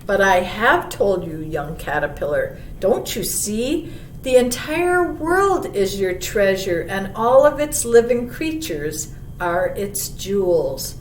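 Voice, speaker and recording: A woman voicing a gentle, wise old male monarch butterfly in a storybook, telling a child of travels with unhurried wonder. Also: very animated. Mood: wise, tender. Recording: roomy, distant mic, faint rumbling noise.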